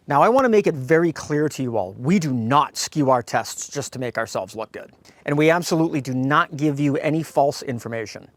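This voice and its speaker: A man's voice: medium pitch, no echo. Casual man reviewing gear, dry close sound. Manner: folksy, direct address to viewers. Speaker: host of a radio gear review channel. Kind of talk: informal product review to camera.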